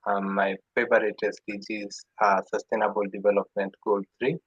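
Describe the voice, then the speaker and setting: Young man, low husky voice, hesitant delivery, dull dry call audio. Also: level tone, normal speed, a bit bright, dry recording. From Kenya. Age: young adult. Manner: hesitant, with many uhs. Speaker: youth forum participant. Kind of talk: opening remarks on a video call.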